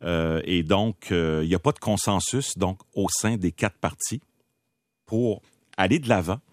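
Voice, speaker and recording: A Québécois man lays out his case on a political panel in a low, dark voice. He is measured, often pausing on small hesitations. The sound is close and dry. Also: no room sound. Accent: Québécois French. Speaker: political commentator. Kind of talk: panel debate.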